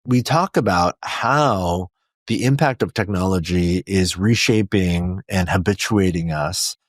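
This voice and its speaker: A man in his forties hosts a podcast and talks in an earnest, reflective way, now and then restarting a phrase. His voice sits very low. The recording is dry and close, with no room sound. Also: measured pace, dry recording. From South Korea. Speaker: podcast host. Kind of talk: podcast intro monologue.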